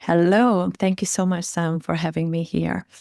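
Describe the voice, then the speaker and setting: A woman's voice: on the low side, level tone, normal speed, gravelly, a little mellow, dry recording. Woman, Hungarian accent, parenting coach guest, earnest and reflective, close dry sound. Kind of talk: interview answer, storytelling.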